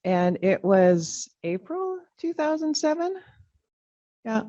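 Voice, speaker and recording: Middle-aged lady, danish first language, chair's goodbye talk, low mellow voice, lots of ums, dull lo-fi sound. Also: very animated. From California. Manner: reflective, halting, with frequent ums. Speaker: commission chair. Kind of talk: personal farewell speech at a public meeting. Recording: dull lo-fi meeting audio.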